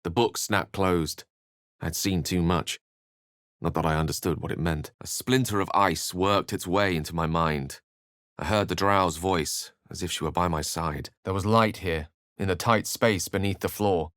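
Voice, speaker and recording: An actor playing a scholarly, self-assured and romantic human wizard in a video game, with an eloquent, theatrical way of speaking. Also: male voice, deep voice, moderate pace, a bit bright, clean tone, no echo, clean studio sound. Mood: grave, narrating. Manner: eloquent, theatrical, ornate phrasing. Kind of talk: video game character lines and narration.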